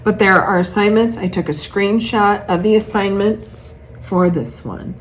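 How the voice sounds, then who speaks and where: A woman's voice: medium pitch, unhurried. A woman chatting casually to camera as a craft vlogger, gushing and thinking aloud as she shows off patterns. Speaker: cross-stitch vlogger showing patterns. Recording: clearly noisy room ambience.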